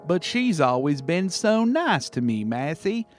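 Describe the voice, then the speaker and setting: A man voicing a naive, slow-witted, gentle male turkey in an animal story, simple and earnest. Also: on the high side, big pitch swings, soft background music, pitched above the performer's own voice. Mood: bashful, earnest.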